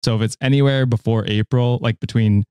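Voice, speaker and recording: A man's voice: medium pitch, steady tone, fairly quick, a little mellow, clean tone, dry recording. Late 20s guy podcast host, chatting n reading posts, mid voice, dry sound. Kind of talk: casual chat and reading online posts aloud.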